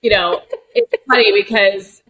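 A woman's voice: mid-range voice, measured pace, pushed and bright. Candid woman in her forties. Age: 40s. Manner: searching, full of "like" and restarts. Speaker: guest, actor and director. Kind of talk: candid podcast conversation.

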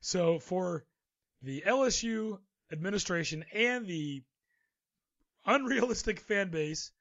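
A man's voice: high-pitched, lively intonation, takes it slowly, fairly bright tone, lo-fi sound. A man co-hosting a casual sports talk show, reading the news and then riffing with his own opinions. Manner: chatty, reads news then riffs with opinions. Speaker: podcast co-host.

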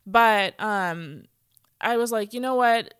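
Woman guest, casual and chatty, lots of fillers, smooth voice. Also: fairly high pitch, slow pace, a bit bright, no room sound, studio quality. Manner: chatty, many "like" fillers, acts out quoted speech. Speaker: guest speaker. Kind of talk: casual conversation. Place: church stage.

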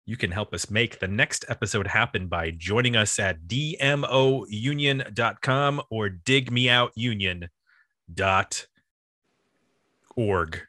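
A man hosts a music podcast with a bright, forward voice and a nasal Buffalo accent. The sound is very dry and close. He talks casually and likes to wander off into jokey asides. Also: fairly low pitch, takes it slowly, no echo. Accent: Buffalo, New York. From US East Coast. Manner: joking, chatty, digressive. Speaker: podcast host.

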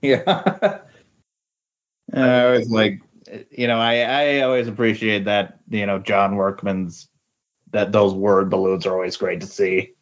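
A man's voice: on the low side, fairly even intonation, takes it slowly. A man chatting casually about comic books as a podcast co-host, in a hesitant, agreeable way. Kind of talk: casual comic book chat. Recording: dull, lo-fi audio.

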